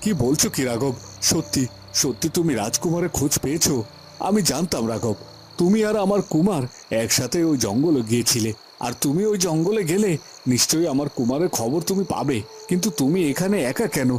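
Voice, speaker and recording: Man acting anxious, emotive cartoon king with swooping pitch. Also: lively intonation, fairly quick, darkish tone, a little hiss or hum, close to an everyday voice. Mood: excited, hopeful. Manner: emotive, repeats phrases in agitation. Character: anxious, doting king grieving his missing son. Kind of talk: Bengali fairy-tale cartoon dramatic acting.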